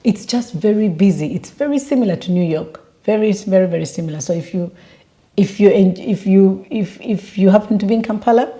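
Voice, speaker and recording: Mellow-voiced Ugandan woman vlogging. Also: medium pitch. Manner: chatty, with many fillers and restarts. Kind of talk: casual travel vlog.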